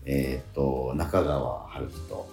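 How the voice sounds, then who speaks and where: A man's voice: very deep voice, fairly even intonation, takes it slowly, a little mellow. A middle-aged man hosting a radio show in casual chat, sometimes groping for words. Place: roomy indoor space at a theatre. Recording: roomy sound with faint music behind.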